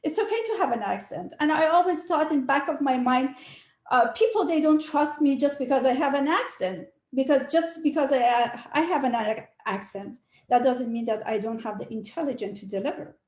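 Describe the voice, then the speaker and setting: A middle-aged woman, a realtor and committee chair, speaking English as a second language in a halting way with many fillers during a panel discussion. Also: sits high, darkish tone, reverberant. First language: not English.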